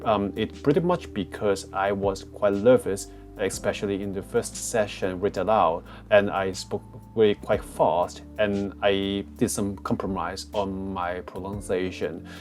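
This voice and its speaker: A man with a Hong Kong English accent talks through exam advice in a relaxed, meandering way, pausing on fillers and restarting his sentences. His voice sits fairly low, recorded on a cheap headset mic. Faint music plays underneath. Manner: frequent fillers and restarts, plain phrasing. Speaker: test prep tutor. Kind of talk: casual explainer walkthrough.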